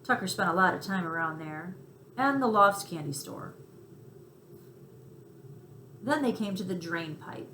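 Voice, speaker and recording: A woman narrates a children's story with lively, expressive intonation. Her voice rings in a roomy space with faint noise behind it. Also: lowish voice, measured pace, long pauses. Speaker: storybook reader.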